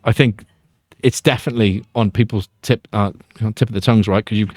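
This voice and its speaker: A man with a slightly deep voice chats rapidly as a podcast host. His style is casual and self-deprecating, like an informal interview. The recording is dry, with very little room sound. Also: fairly even intonation, a little mellow, no echo.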